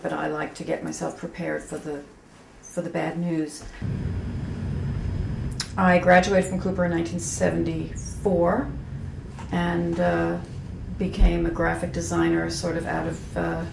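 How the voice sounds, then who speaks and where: Candid fifty-something woman, low gravelly voice. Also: flat delivery, measured pace. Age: 50s. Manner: self-deprecating, frank, many fillers and restarts. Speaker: graphic designer, interviewee. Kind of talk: candid reflective interview talk. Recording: echoey room with faint background hum.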